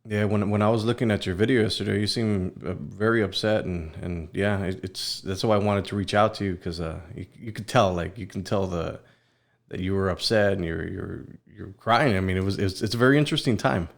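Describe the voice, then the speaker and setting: Low, creaky-voiced male podcast interviewer. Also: fairly quick. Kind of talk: casual conversational interviewing.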